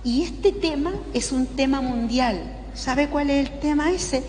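A woman's voice: high voice, measured pace, darkish tone. An older woman with a Chilean accent preaches as a religious sister to a live audience, conversationally, often checking in with tag questions. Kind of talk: informal spoken sermon to a live audience. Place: large indoor parish hall. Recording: echoey hall with clear background noise.

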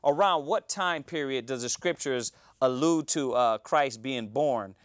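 A man in his thirties hosts a live cable talk show from a small studio. His voice is smooth and clear at a middling pitch, and the sound is dry and close. He rambles, restarting often with fillers. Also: measured pace, no room sound. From Houston, Texas. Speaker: host.

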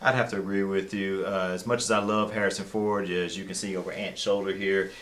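Low-pitched American man reviewing films. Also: little pitch movement, brisk, fairly bright tone. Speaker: film review co-host. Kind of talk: conversational film review. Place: small indoor room.

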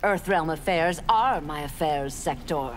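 A woman's voice: sits low, measured pace. An actress voicing a fallen female warrior seeking redemption in a fighting game, speaking in a solemn, resolute way. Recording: game audio with music behind.

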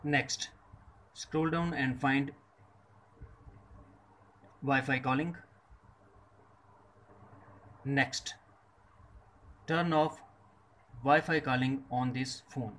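Slow-paced man narrating a tutorial. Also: medium pitch, fairly even intonation, fairly bright tone, slight background noise, rough recording. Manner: instructional, short separated phrases. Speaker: tutorial presenter. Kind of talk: scripted step-by-step tech tutorial narration.

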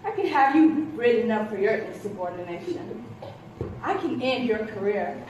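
Casting: woman playing young female investigator, stern and confrontational, high voice, distant in a roomy theatre. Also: lively intonation, normal speed, darkish tone, natural voice. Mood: angry accusation. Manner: confrontational, emphatic. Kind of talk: live stage play acting. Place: theatre stage with rows of seats. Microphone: distant camera. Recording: echoey theatre stage from far off, noisy.

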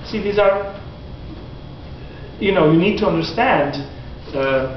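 Male lecturer, forties, high voice, echoey room from a distance, lo-fi. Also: takes it slowly, a little hiss or hum. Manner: animated, gesturing, restarts sentences often. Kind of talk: classroom lecture. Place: classroom in front of whiteboard. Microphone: far camera. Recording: echoey classroom from far off, dull lo-fi.

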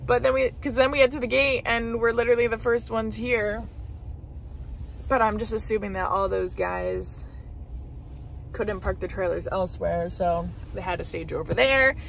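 An American woman in her thirties talks in an informal, playful vlog style. Her voice has a gravelly fry at the edges. She is recorded inside a vehicle, with a light rumble underneath. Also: moderate pace, rough recording. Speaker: vlogger and host. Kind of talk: casual vlog chat. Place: inside a moving pickup truck.

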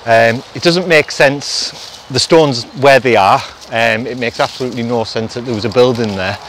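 A man's voice: mid-range voice, moderate pace, a bit bright, a little hiss or hum. A middle-aged man, outdoors in a forest, speculating and explaining things as he goes in a casual vlog. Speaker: vlog host restoring a château. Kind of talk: casual walk-and-talk vlog narration.

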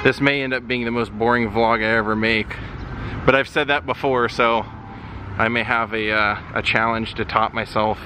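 A man's voice: medium pitch, steady tone, normal speed. A man vlogging as he walks down a city shopping street outdoors, making wry offhand remarks into his camera mic. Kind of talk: casual walking-tour narration. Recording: loud street noise behind. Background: traffic and passing cars.

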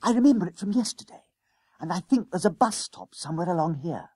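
A man voicing a bossy, excitable schoolboy ringleader in an audiobook, speaking in urgent, conspiratorial bursts with old-fashioned slang. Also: high-pitched, fairly quick, breathy edge, dry recording, pitched above the performer's own voice, full character voice.

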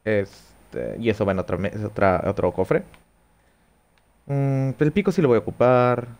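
A man's voice: lowish voice, expressive, measured pace, creaky, darkish tone. A young man with a Mexican accent chatting casually with viewers while playing, thinking aloud and swearing lightly, on a headset at home. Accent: Mexican Spanish. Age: young adult. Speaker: streamer. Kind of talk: casual gameplay chat with viewers. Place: small room at home.

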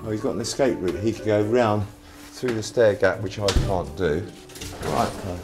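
Deep-voiced rescuer, unhurried, soft-spoken with animals; loud music bed. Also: male voice. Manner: calm, coaxing, talks softly to the animal. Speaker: wildlife rescuer. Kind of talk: on-the-job commentary. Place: indoor stairwell of a restaurant.

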